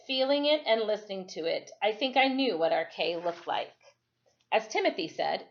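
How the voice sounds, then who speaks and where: A slightly muffled, lo-fi recording of a woman reading a storybook aloud. Her pitch is medium and moves up and down in a very animated way. She phrases each part expressively and deliberately, like a teacher at storytime. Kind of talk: expressive read-aloud of a children's novel.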